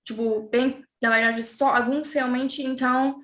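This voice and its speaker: A woman talks casually as a guest, speaking a language she learned later. Her voice sits a little high, and she often stops to search for words and fills the gaps. The room around her sounds echoey. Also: steady tone, measured pace, fairly bright tone. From Germany. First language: German. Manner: hesitant, searching for words, many fillers. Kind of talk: casual interview chat.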